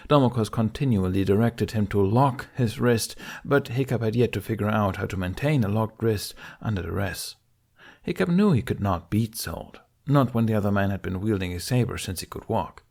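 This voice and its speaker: A man's voice: on the low side, normal speed, gravelly, darkish tone. A man reading a fantasy adventure story aloud as a scripted audiobook narration.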